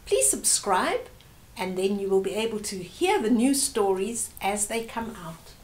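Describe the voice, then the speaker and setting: A woman's voice: mid-range voice, sing-song, unhurried. An older woman hosting a story time, reading aloud expressively and explaining things to young children.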